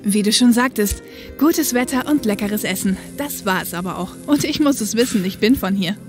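A woman plays a young police helicopter co-pilot in an audio drama. She explains things soberly, like a caring big sister, in a mid-pitched voice with music behind her. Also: animated, moderate pace, natural voice. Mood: casual, friendly. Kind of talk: German audio drama acting.

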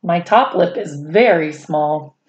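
Middle-aged woman, children's read-aloud, very unhurried, lively intonation, expressive, chatty asides, muffled lo-fi home recording. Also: sits low. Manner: expressive, drawn-out delivery addressed to young children. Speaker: storyteller reading to children. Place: small indoor room at home.

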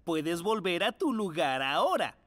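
Animated, encouraging mole schoolteacher. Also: mid-range voice, unhurried, long reverb tail, heavy background noise. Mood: cheerful. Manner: encouraging, expressive. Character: kindly adult mole schoolteacher, warm and encouraging. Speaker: teacher. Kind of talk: children's cartoon dub acting. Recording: music behind the voice.

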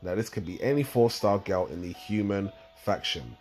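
A man with a deep, slightly croaky voice talks viewers through a game tutorial. He rambles casually and explains each step with plenty of fillers. Soft music plays faintly underneath. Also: moderate pace. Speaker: gaming channel host.

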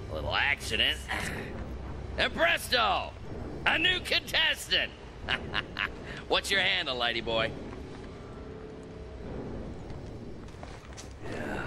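A flamboyant wasteland race boss from a video game, with a pitch that swings wildly. He drawls slowly and theatrically, sliding from sly wheedling into manic shrieks, with loud music behind him. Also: full character voice. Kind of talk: video game character acting.

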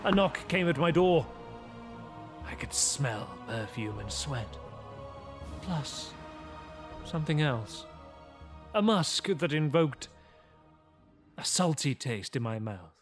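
A man's voice: mid-range voice, expressive, slow pace, pauses often. An actor playing a brooding, arrogant vampire who recounts his transformation in a formal, theatrical gothic audio drama monologue. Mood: ominous, tormented. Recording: music under the voice.

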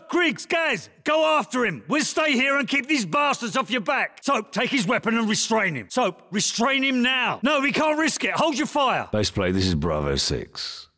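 A male actor playing a gruff, commanding British special forces captain in a military shooter game, giving terse, authoritative orders and radio calls. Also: extremely high pitch, sharp tone, full character voice. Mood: urgent, shouting, then low, measured.

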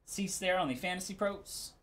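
A man's voice: high voice, normal speed, fairly bright tone. A man hosting a live sports card break, giving casual, laid-back commentary in short clipped call-outs.

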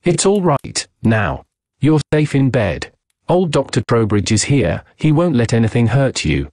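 A man's voice: mid-range voice, big pitch swings, a little slow, darkish tone, no echo, clean studio sound. A man playing an old doctor who is commanding yet kindly, in a horror audiobook. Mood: reassuring.

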